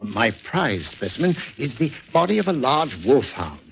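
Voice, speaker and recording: An actor playing an eager, courteous museum curator, scholarly and hesitant, in 1940s radio drama style. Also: male voice, medium pitch, big pitch swings, normal speed, vocal fry. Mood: scholarly enthusiasm. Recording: noisy old 1940s radio recording.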